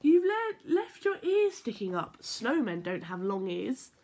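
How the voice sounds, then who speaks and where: A woman voicing a curious, excitable little teddy bear in a storybook, with an exclaiming manner. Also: a little high, unhurried, breathy edge, heavily acted. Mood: excited.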